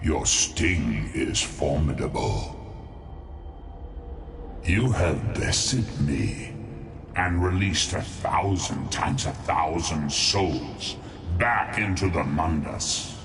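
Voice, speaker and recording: Game villain: contemptuous demon lord, very low and slow, pompous menace, music behind the voice. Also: male voice, expressive, full character voice. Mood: gloating menace. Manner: mocking, pompous, menacing, theatrical. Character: gloating, scheming male demon lord, contemptuous of mortals. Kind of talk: scripted fantasy game villain dialogue.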